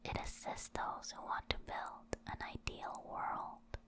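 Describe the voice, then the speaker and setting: A woman whispers her way slowly through short written entries for an ASMR listener. Her delivery is soft and soothing, fully breath-voiced, with words carefully spaced and unhurried. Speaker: ASMR creator. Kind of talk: whispered ASMR reading of game encyclopedia entries.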